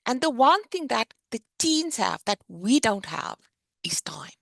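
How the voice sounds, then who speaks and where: A middle-aged woman with a South African accent, teaching the basics of investing in an animated, explanatory way on a webinar. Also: a little high, measured pace, gravelly, no echo, studio quality. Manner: animated, explanatory teaching with a lively sing-song rise and fall. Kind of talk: webinar presentation.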